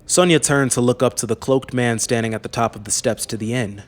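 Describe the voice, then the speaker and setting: A man narrating a scripted fantasy audiobook in a measured, serious way. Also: mid-range voice, faint music behind.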